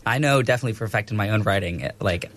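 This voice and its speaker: A man's voice: fairly low pitch, rapid-fire, fairly bright tone. Casual, enthusiastic male radio host. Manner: casual, enthusiastic, filler-heavy. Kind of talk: radio interview. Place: radio studio.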